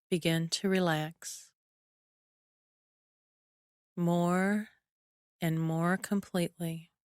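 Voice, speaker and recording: Female guided imagery narrator: soothing, measured delivery, clean smooth tone, very unhurried, with long pauses. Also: fairly low pitch, no echo, clean studio sound. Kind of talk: guided relaxation meditation, scripted narration.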